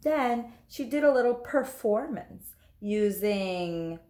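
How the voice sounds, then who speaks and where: A woman teacher reading a children's story aloud, expressive and emphatic, speaking to young listeners. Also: a little high, slow. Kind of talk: animated storybook narration. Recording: echoey room.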